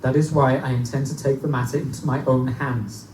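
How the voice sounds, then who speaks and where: A man playing a determined, haunted young English student in a live gothic horror drama, speaking with dramatic, deliberate intensity. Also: mid-range voice, little pitch movement, darkish tone, distant mic, natural voice. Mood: grim resolve. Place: live performance in a bookstore. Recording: echoey, noisy live bookstore recording from afar.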